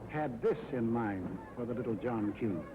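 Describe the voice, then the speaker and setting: A man's voice: mid-range voice, lively intonation, normal speed. An American man narrating a 1940s wartime propaganda film in a dramatic, emphatic, rhetorical style. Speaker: documentary narrator. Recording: muffled old film soundtrack with music.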